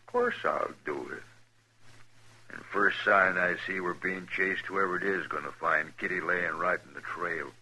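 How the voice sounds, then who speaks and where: A man's voice: deep voice, fairly even intonation, moderate pace, pushed and bright, exaggerated voice, rough recording. An actor playing a cold-blooded, taunting bank robber in a 1950s western radio drama, drawling his threats. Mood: cold menace.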